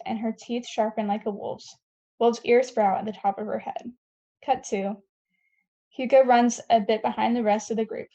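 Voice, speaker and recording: A woman's voice: fairly high pitch, warm tone, muffled recording. A woman reading scripted stage directions at a table read, plainly and with the odd stumble.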